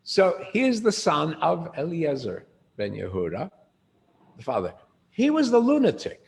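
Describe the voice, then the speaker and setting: Older male lecturer, gravelly, conversational teacher who quizzes the room. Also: on the high side, unhurried. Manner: animated storyteller, asks the room questions. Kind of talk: informal lecture to a group.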